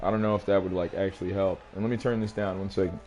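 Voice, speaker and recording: A man's voice: deep voice, steady tone, quick pace, warm tone. A man with a New England accent, chatting casually on a live stream, rambling and boastful and swearing freely as he plays his old songs. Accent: New England, Rhode Island. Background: music playing.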